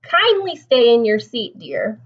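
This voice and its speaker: A woman voicing a gentle but firm schoolteacher of young children, instructing them in a storybook. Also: on the high side, wildly swooping pitch, a little slow, slightly rough, recorded from a distance, highs cut off, full character voice. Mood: gently scolding. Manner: instructing, addressing children as dear.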